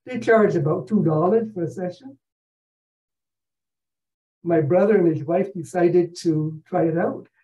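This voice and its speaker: An older man from Barbados giving a narrated travel slideshow over a video call, speaking in a measured, halting, explanatory way. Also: sits high, mellow. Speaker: presenter, retired professor. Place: indoor room at home, on a video call. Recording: dull video call audio.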